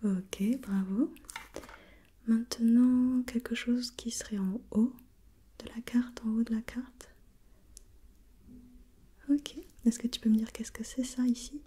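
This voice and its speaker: A woman whispering breathily in an ASMR role play, gently putting questions step by step as an examiner and softly repeating herself. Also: fairly high pitch, fairly even intonation, slow pace, long pauses, husky. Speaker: examiner in a role-played visual test.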